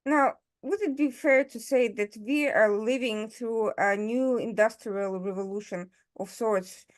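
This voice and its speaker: A woman hosting an interview, asking a long, measured question with some fillers. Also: on the high side. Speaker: host. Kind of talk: podcast interview.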